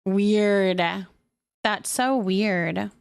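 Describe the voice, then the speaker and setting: A woman hosting a live stream, chatting casually with viewers in an animated, expressive, sympathetic and informal way as she reads and answers their comments. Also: medium pitch, drawn-out words, creaky, clear, resonant tone.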